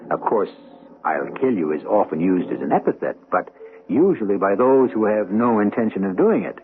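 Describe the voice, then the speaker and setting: A man giving theatrical, scripted narration as host of a radio mystery, wry and urbane with deliberate phrasing. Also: lowish voice. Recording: thin, narrow-band old radio broadcast. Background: music.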